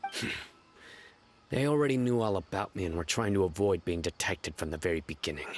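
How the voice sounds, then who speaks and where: A man voicing a stern young enforcer in a game, speaking in a curt, flat, authoritative way. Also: sits low, fairly quick, a little hiss or hum. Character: stern young male judge-enforcer, cold and relentless. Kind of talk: game voice acting.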